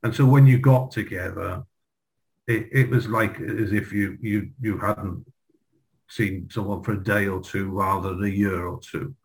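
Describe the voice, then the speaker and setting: A man, reminiscing in a halting way, often repeating words. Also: on the low side, fairly even intonation, gravelly, rough recording. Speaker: guest, former pupil. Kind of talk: reminiscing in a group video chat.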